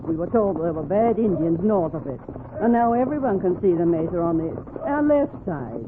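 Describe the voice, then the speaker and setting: An actress playing a wagon leader's worried, disapproving wife in a 1940s radio western, earnest and reproachful. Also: female voice, lowish voice, expressive, normal speed, natural voice. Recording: tinny, noisy 1940s radio recording.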